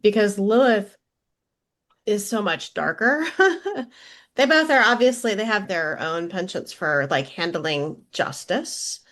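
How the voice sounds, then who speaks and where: A woman giving a casual, reflective interview answer as a voice actress, in a halting way as she thinks aloud. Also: mid-range voice, lively intonation, a bit bright.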